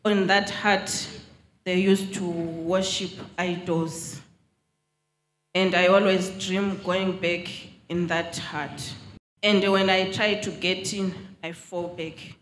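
Slow-speaking Zimbabwean woman giving testimony. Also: lowish voice, flat delivery, a little hiss or hum. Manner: deliberate, pausing between short phrases. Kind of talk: public testimony. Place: large hall with congregation. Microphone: handheld microphone.

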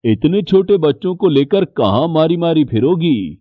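A man voicing a kind, polite middle-aged bangle merchant in an animated story. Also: a little high, unhurried, no echo, muffled recording. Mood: kindly. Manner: polite, sympathetic.

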